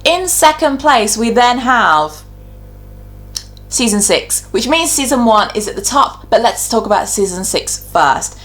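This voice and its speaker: A woman's voice: fairly high pitch, animated, a little slow. A woman talking casually and informally as a video host, giving her opinions in a chatty, conversational way. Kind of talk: casual opinionated ranking commentary.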